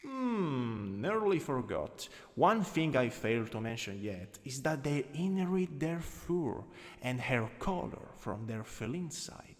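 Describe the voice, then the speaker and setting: A man with an Italian accent voicing a teasing, lowkey dominant anatomy lecturer in an ASMR roleplay. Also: big pitch swings, slow pace, a little hiss or hum. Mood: instructive, playful. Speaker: ASMR voice actor. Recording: long reverberant hall echo.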